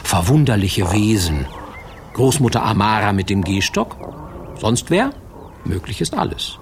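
Guy narrating a fairy tale, low creaky voice, really expressive, folksy. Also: unhurried, a little hiss or hum. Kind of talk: scripted fairy-tale narration for radio drama.

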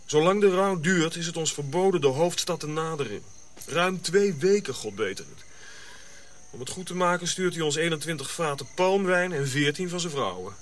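A man playing a cynical, gruff colonial officer in a radio drama, blunt and sardonic. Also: a little high, animated, moderate pace, fairly bright tone, a little hiss or hum. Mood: sardonic, irritated.

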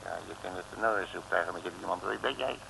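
Noisy old radio comedy: muttering detective, high creaky voice, nervous and blustering. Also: male voice, fast talker, pushed and bright, heavily acted. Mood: gruff, irritated. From Netherlands. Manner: muttering, stammering, blustering, colloquial. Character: grumbling, nervous, street-wise middle-aged Rotterdam detective. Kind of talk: comic radio serial acting, around 1960.

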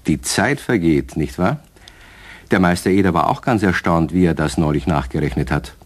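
A man's voice: low-pitched, animated, moderate pace. A man narrating a scripted children's story, addressing young listeners directly as a storyteller.

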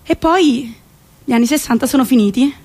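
A woman's voice: high-pitched, moderate pace, very noisy. A woman delivering theatrical storytelling narration as a stage actress performing a solo play. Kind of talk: theatrical monologue narration in Italian.

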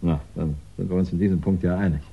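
Unruffled chief inspector, lowish voice, wry courtesy, gentle condescension, radio crime play, dull vintage recording. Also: male voice, steady tone, fast, vocal fry, husky, full character voice. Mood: wry, calm. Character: calm, wryly amused male chief police inspector. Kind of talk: 1960s German radio crime drama acting.

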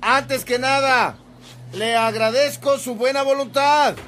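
Solemn, slow-speaking Mexican bishop. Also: male voice, extremely high pitch. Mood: polite, insistent. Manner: solemn, formal, measured. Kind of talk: radionovela acting.

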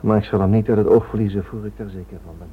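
A man's voice: fairly low pitch, steady tone, very fast, close to an everyday voice. A man acting a serious, protective character in a radio thriller. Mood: protective, tense. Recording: thin lo-fi radio recording, strong noise.

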